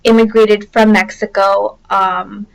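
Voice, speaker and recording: A young college student from Southern California speaks in a documentary interview. Her voice sits at a middle pitch for a woman. She reflects aloud, pausing on fillers and restarting sentences. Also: little pitch movement, creaky, low-quality recording. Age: young adult.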